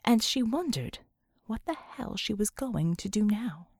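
A woman's voice: medium pitch, animated, a little slow, darkish tone, husky, no echo, clean studio sound. A woman reading scripted fiction expressively as an audiobook narrator.

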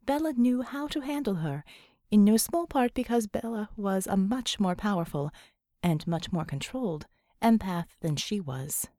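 A woman's voice: medium pitch, animated, normal speed, warm tone, dry recording, clean studio sound. A woman narrating scripted fiction as an audiobook reader.

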